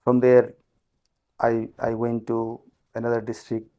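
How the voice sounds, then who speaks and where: A middle-aged man from Nepal, a company finance chief, answering interview questions in a measured way with frequent restarts. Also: mid-range voice, completely flat delivery, creaky, dark tone. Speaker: guest, group CFO.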